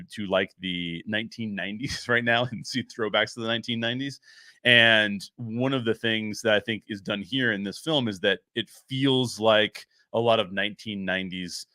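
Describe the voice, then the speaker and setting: A man's voice: fairly low pitch, normal speed, pushed and bright, no echo. A man hosting a show solo, giving casual commentary in an emphatic way, repeating phrases for stress. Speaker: show host and story writer.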